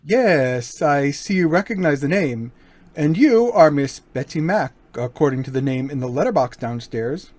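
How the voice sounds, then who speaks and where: A man playing a suave, menacing gentleman burglar in an old-time radio crime drama, mock-courteous and taunting. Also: fairly high pitch, expressive, normal speed. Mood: mock-polite menace.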